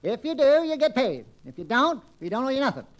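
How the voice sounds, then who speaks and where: An actor playing a blunt, stubborn business partner in a 1940s radio play. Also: male voice, very high-pitched, very animated, a little fast.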